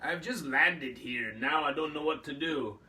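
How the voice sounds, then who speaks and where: A man's voice: fairly high pitch, unhurried, pushed and bright, far from the mic, pitched above the performer's own voice, poor audio. A man voicing a nervous male alien who has just landed on Earth, with a raised, worried voice.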